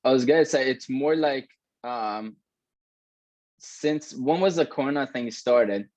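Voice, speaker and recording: A man's voice: medium pitch, measured pace, darkish tone, no room sound. A man in his twenties from Iran, chatting casually and swearing freely, filling his talk with "like" and "right?". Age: 20s. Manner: loose, swearing, lots of "like" and "right?". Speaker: co-host. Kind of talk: casual chat. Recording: dull, dry lo-fi sound.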